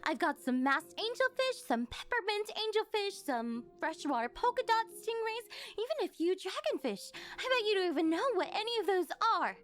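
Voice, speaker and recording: A high, very animated voice from a woman acting as a rich older yandere girlfriend in an ASMR roleplay. She is doting and possessive, coaxing her sweetheart in a sweet, slightly awkward ramble. Faint music plays behind her. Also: normal speed, hardly any pauses. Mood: boastful. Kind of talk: scripted ASMR roleplay spoken to the listener.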